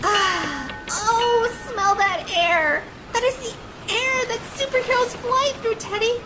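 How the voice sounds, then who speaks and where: A woman's voice: extremely high pitch, takes it slowly, over music, low-quality recording. A woman voicing a brash, chatty, sarcastic young antiheroine girl in a comic dub. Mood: excited.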